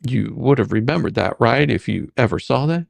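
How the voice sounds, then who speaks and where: A performer playing a bumbling, sarcastic questioning attorney in a comedic deposition reading, halting and theatrical. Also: medium pitch, lively intonation, creaky, no room sound. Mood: probing, sarcastic. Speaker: dramatic reader.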